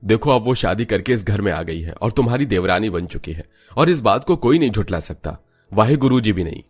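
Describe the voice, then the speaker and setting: A man's voice: lowish voice, lively intonation, fairly quick, husky, pitched below the performer's own voice. A man playing a weary, reproving Punjabi husband in a cartoon family story. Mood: exasperated. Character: exasperated, deep-voiced Punjabi husband.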